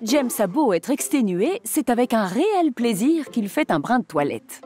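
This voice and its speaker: A woman's voice: a little high, sing-song, moderate pace. A woman voicing the storyteller of a children's train cartoon in scripted narration. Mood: storytelling. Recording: music behind the voice.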